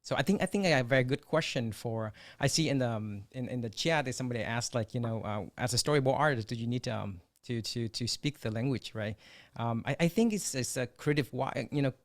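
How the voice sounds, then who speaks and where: A man's voice: mid-range voice, a little fast. A man whose first language is Vietnamese, speaking as a guest on an online panel and explaining his points thoughtfully. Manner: thoughtful, many fillers and restarts. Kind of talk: casual conversation over video call. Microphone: video call.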